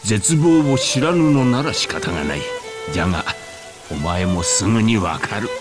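A man's voice: lowish voice, expressive, moderate pace, over music, lightly acted. A man acting a haughty, scornful old man. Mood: stern, warning. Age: older. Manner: haughty, scolding, old-fashioned turns of phrase. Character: scornful, gruff old man with archaic speech. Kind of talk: Japanese video game voice acting.

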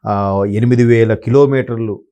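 A man speaking conversational commentary as an analyst guest, hesitant and often pausing to search for words. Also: medium pitch, fairly even intonation, mellow. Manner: hesitant, many fillers and word-searching pauses.

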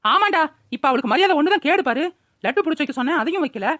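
A woman's voice: high voice, expressive, rapid-fire, fairly bright tone, no room sound, clean studio sound. A woman with a rural Tamil Nadu accent voicing a nagging but doting older village mother in a cartoon. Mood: scolding. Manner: nagging, colloquial, exclaiming. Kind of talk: Tamil cartoon voice-over acting.

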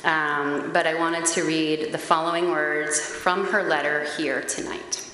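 Low-voiced woman reading at a podium. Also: a little slow. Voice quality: loud, carrying voice. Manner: earnest, steady reading with occasional hesitant fillers. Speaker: parent group facilitator at a public meeting. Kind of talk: reading a prepared statement aloud. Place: town meeting chamber, indoors. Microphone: gooseneck podium microphone. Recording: echoey hall, faint background hiss.

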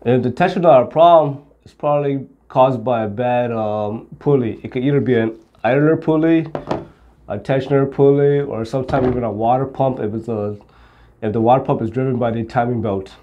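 A man's voice: mid-range voice, brisk, warm tone, muffled recording, slight background noise. A middle-aged man explaining car repair to camera in a plain-spoken, step-by-step way. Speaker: auto repair instructor. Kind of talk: hands-on instructional explanation to camera.

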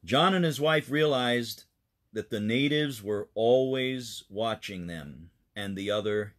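A man with a mid-pitched voice reads a story for children. His delivery is expressive and dramatic, as a storyteller's would be. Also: slow pace. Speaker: pastor reading a children's story.

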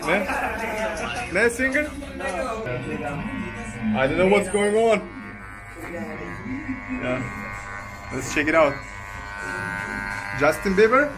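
A man's voice: sits high, sing-song, slow, pauses often, over loud music. A young man in his twenties, a travel vlogger, chatting and joking playfully with his barber while getting a haircut in a small barbershop. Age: 20s. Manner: playful, joking, laughs often. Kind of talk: casual chat. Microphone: handheld camera close up.

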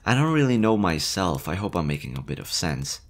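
A man voicing a nervous, gentle young male slime monster in an audio roleplay, talking softly and hesitantly. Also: low-pitched, sing-song, fairly quick. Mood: chatty. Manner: soft, hesitant, chatty.

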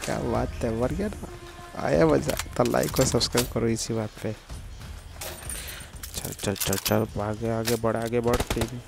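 A man with a sore, hoarse throat, giving excited trash-talking gameplay commentary. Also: medium pitch, a little slow, pauses often. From India. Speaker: gaming channel host. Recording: commentary over loud game music and gunfire.

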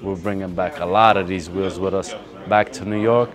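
A man's voice: low-pitched, steady tone, normal speed. Hyped man touring a factory floor. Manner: hyped, street-casual slang. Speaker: vlog host touring a wheel factory. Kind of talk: casual walkthrough tour. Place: large indoor factory floor. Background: background music.

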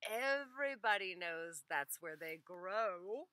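A woman voicing a calm, sly peasant husband who answers matter-of-factly. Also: medium pitch, slow pace, no room sound.

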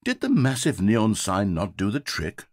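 An actor playing a game's wistful, self-absorbed male narrator in a British accent, with a slightly husky, wry and theatrical delivery. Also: lowish voice, wildly swooping pitch, measured pace, no echo, clean studio sound, exaggerated voice. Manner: wry, theatrical, swinging between wistful and sarcastic. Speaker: game narrator. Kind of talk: scripted video game narration.

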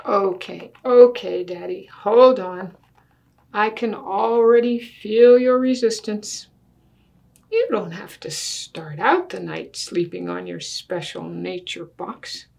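A woman's voice: medium pitch, steady tone, slow pace, gravelly, darkish tone. An older woman voicing a bossy, precocious little girl from a storybook who persuades her daddy in a pompous, mock-reasonable way. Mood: coaxing, firm.

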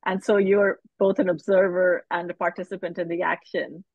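A woman's voice: lowish voice, flat delivery, moderate pace, no echo. Reflective woman on a dull call line. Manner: reflective, restarting phrases. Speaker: novelist panelist. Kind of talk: casual panel discussion. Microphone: video call. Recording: dull video call audio.

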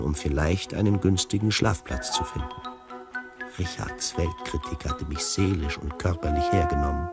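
An actor narrating a radio play in the first person as a wry, fastidious tiger, in a deliberate literary manner. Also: male voice, low-pitched. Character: wry, fastidious tiger telling his own story.

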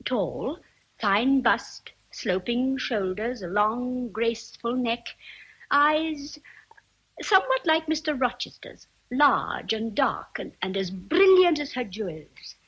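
A woman's voice: on the high side, expressive, slow, a bit bright, husky, exaggerated voice, rough recording. An actress playing a chatty, motherly housekeeper in a radio drama, savoring her words with emphasis. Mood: admiring. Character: gossipy, motherly housekeeper, respectful of rank. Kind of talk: vintage radio drama acting.

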